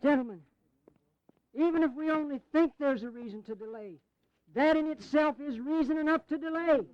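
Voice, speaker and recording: A dry, slightly muffled recording of a courtly colonial delegate in an audio drama. His pitch is remarkably high, and his formal manner is insistent. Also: moderate pace, no room sound. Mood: firm. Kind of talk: dramatized historical audio acting. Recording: dull, close 1980s drama recording.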